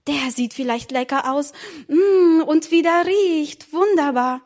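A woman voicing a cheerful young schoolboy who talks in a lively, confident, childlike way. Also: sits high, takes it slowly, pitched above the performer's own voice. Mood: excited.

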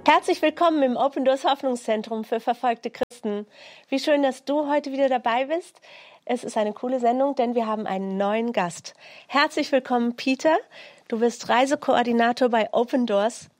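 A woman's voice: on the high side, moderate pace, no room sound. Upbeat woman host, dry close sound. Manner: welcoming, upbeat. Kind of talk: hosting a broadcast interview.

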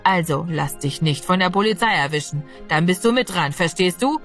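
A woman's voice: fairly low pitch, lively intonation, moderate pace, fairly bright tone, soft background music. A woman voicing a menacing, gloating man who bullies and threatens a boy in an audiobook. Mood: threatening. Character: menacing, gloating adult male villain bullying a boy. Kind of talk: audiobook character voice.

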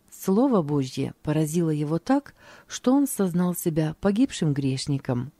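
Low, mellow woman reading calmly. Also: no room sound. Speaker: narrator. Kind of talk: scripted reading of a religious diary.

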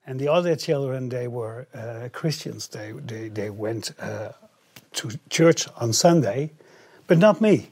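Husky Dutch man, halting speech. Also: mid-range voice, expressive, darkish tone. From northern Netherlands. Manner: halting, repeats phrases. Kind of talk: personal storytelling in English, paused for interpreting.

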